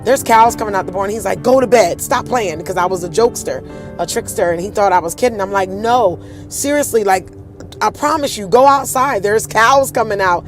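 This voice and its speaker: A woman with a deep, husky voice hosts a casual storytelling tour outdoors, animated and acting out the voices of people she quotes. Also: brisk, soft background music. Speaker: host.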